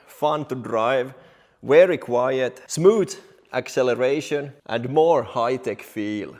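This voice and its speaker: Man explaining things. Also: mid-range voice. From Finland. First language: Finnish or Swedish. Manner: plain-spoken, addressing viewers directly. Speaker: car technician and video host. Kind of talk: explanatory car vlog talk.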